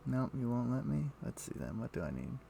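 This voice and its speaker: A man streaming a game, chatting casually and thinking aloud in a halting, muttering way as he plays. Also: medium pitch, very animated, gravelly, dark tone, a little hiss or hum. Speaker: game livestreamer. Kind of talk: casual livestream chat with gameplay commentary.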